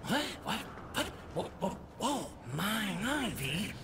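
A squawky little demon bird, brash and mocking in video game style. His pitch is high and leaps around wildly, over loud game sound effects. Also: takes it slowly, background noise, exaggerated voice. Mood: mocking, pushy. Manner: mouthy, mocking, excitable. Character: wisecracking demonic talking bird sidekick, brash and frantic.

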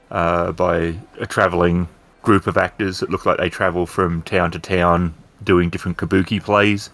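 Low-voiced man running a tabletop game. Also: a bit bright. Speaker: game master, storyteller. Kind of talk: improvised tabletop game narration.

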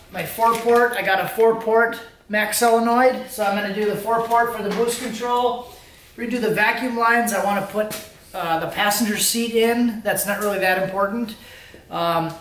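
A man's voice: high-pitched, fairly even intonation, moderate pace, fairly bright tone, slight background noise. A man in his thirties talking casually to the camera in a home garage, explaining things informally as a car-build vlogger. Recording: roomy, echoing garage sound.

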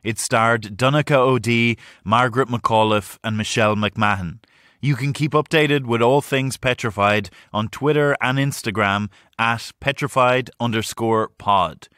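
A man announces credits in scripted narration. His voice is mid-pitched, and the recording is close and very dry. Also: measured pace, a bit bright, no room sound.

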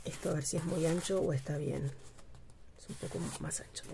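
A middle-aged woman talks through a craft project at her table. Her voice sits low, and she speaks in a relaxed, meandering way. She often stops to hunt for the right word. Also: creaky, background noise. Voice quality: low, unhurried voice with many pauses and fillers. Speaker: craft channel host. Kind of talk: casual craft tutorial, thinking aloud. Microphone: overhead camera, speaker off screen.

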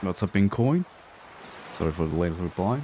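A man from Bosnia streaming a strategy game, chatting with viewers in a relaxed, rambling way and swearing casually. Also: low voice, moderate pace, slight background noise. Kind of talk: casual gameplay commentary with chat. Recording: voice over loud game music.

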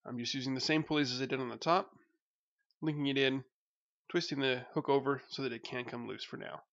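A man's voice: medium pitch, fairly even intonation, a little fast, a bit bright, dry recording, muffled recording. A young man narrating his home project step by step, plain and explanatory, with some fillers. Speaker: DIY video host.

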